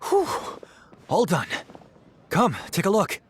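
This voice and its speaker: An actor playing a kindly, gently firm innkeeper in a video game, speaking in a measured, polite, reassuring way. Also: male voice, sits high, huge pitch swings, slight background noise, full character voice. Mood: helpful. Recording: music playing behind the voice.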